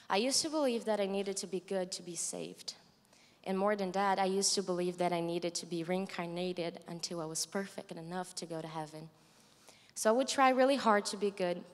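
Young Brazilian woman reading testimony in a hall. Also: mid-range voice. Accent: Brazilian Portuguese. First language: Portuguese. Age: young adult. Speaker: student giving a testimony. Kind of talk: reading a prepared testimony aloud. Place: large dark stage hall. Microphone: handheld mic. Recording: long hall reverb.

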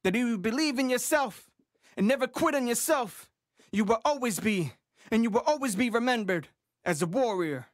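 Brief: man in his thirties, native Spanish speaker, rhythmic freestyle rapper, high-pitched and very dry studio booth sound. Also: animated, normal speed, dry recording. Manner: rhythmic, chanting, percussive vocal beats. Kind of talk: freestyle rap performance mixing English and Spanish. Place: small recording booth. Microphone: studio condenser mic with headphones.

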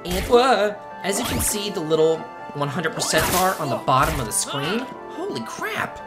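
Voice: mid-range voice, normal speed. A chatty, exclamatory let's play host giving casual commentary on a video game. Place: indoors, voice over game audio. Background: loud game music.

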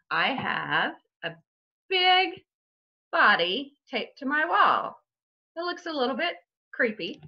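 A woman's voice: a little high, dull audio. A middle-aged woman hosting a children's story time, giving craft instructions to camera at home. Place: small bright room at home, indoors.

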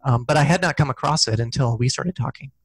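A man's voice: medium pitch, quick pace, no echo. A man in his forties speaks through a headset microphone from a small office, a guest author on a video call. He thinks aloud, hesitating and restarting often. His voice sits mid-range and sounds close and dry. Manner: hesitant, many ums and restarts, gestures with hands. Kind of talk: casual video call interview. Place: small office with corkboard, indoors. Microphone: headset mic on video call.

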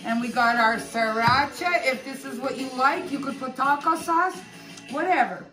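A woman's voice: high voice, moderate pace. A woman co-hosting a home cooking video, chatty and laughing as she explains each step in her kitchen. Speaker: cooking show co-host. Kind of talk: casual home cooking demo. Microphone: far camera. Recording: echoey room from far off with music.

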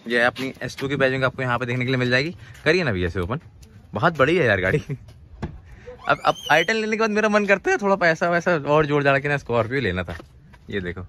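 Fast, bright-voiced car vlogger man. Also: medium pitch, very animated. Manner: chatty, informal, asking questions. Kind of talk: casual car walkaround vlog chat. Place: open-air used car lot, partly inside car. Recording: outdoor ambient noise.

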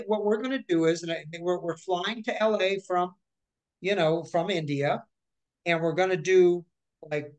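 A woman in her forties, speaking over a video call from her living room. She tells her stories in a lively, chatty way, breaking off and restarting mid-sentence. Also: fairly low pitch. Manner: chatty, animated, with many restarts and hand gestures. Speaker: guest voice actor. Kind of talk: casual interview storytelling.

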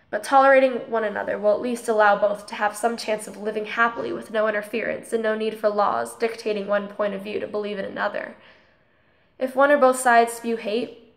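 A woman playing an earnest, principled student girl in a scripted drama, explaining things in a measured, preachy way. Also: mid-range voice, vocal fry, close to an everyday voice. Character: earnest, tolerant, principled transgender girl student named Holly. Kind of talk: scripted audio drama dialogue, speech-like monologue.

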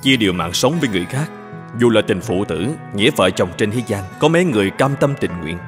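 A man voicing a kindly, reassuring middle-aged doctor in an animated moral tale. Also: on the low side, fast, music in the background, lightly acted. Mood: reflective.

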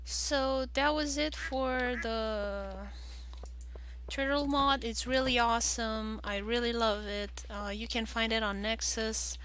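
Slow-paced woman narrating gameplay. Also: a little high, fairly even intonation, a bit bright, slight background noise. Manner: hesitant, thinking aloud. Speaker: gaming video host. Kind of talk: casual game walkthrough commentary.

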